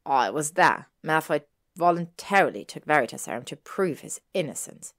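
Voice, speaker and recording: A woman's voice: deep voice, animated, moderate pace. A woman playing a loyal, protective teenage boy wizard in a story, speaking bluntly and sternly. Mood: snappish.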